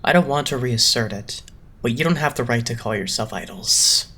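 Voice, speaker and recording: A man's voice: mid-range voice, expressive, moderate pace, gravelly, bright, forceful tone, exaggerated voice. Condescending, formal young male boss acted by a man. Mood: cold, blunt. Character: cool, haughty young male idol-agency boss. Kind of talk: anime game fandub acting.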